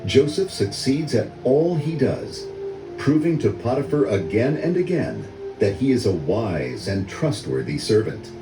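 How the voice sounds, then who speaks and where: An older man reading a children's story in a measured, dramatic storytelling manner. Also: medium pitch, big pitch swings. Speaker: read-along story narrator. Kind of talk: scripted children's story narration. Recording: roomy echo, music under voice. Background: orchestral music.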